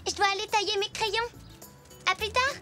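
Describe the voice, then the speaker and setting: An actor voicing an eager, polite little boy zebra in a children's cartoon, with a bright childlike delivery. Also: very high-pitched, fairly even intonation, normal speed, faint music behind. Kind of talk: French preschool cartoon dialogue.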